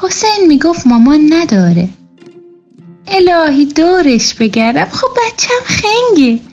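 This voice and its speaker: A woman's voice: high voice, very animated, a little mellow, muffled recording, soft background music. A woman playing a teasing, grumbling young neighbourhood woman with slangy folk speech in a nostalgic radio drama. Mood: fond gossip.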